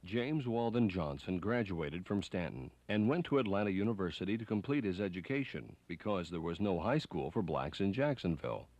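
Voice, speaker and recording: A man with a deep voice narrates a documentary from a script. The sound is dry and close, with very little room around it. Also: lively intonation, normal speed, hardly any pauses, a little mellow, dry recording.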